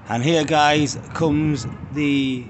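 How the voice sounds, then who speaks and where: A man in his thirties with a British English accent, filming himself on a phone while walking outdoors, chatting to viewers and throwing mocking jabs. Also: mid-range voice. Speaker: street vlogger. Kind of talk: walking selfie vlog chat. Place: outdoors on a city street. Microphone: phone held at arm's length. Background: faint street traffic.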